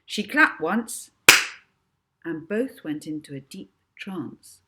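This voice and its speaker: Low-pitched, expressive woman storyteller. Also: slow pace, heavy background noise. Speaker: author reading own book. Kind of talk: expressive children's audiobook narration.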